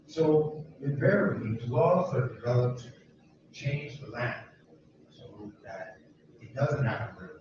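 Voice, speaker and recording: Guy leading a neighborhood walk, kinda rambly and emphatic, sounds far off, echoey and muffled with loud ambience. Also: medium pitch, expressive, takes it slowly, noisy background. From United States. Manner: emphatic, rambling, repeats himself. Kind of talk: informal talk to a walking group.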